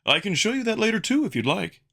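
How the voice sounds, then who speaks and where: A man's voice: high-pitched, big pitch swings, fast, pushed and bright, voice pushed up, exaggerated voice. A man voicing an earnest, eager male alien wolf in a visual novel, speaking emphatically with formal wording and a touch of awkwardness. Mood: eager, instructive. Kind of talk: visual novel character dub.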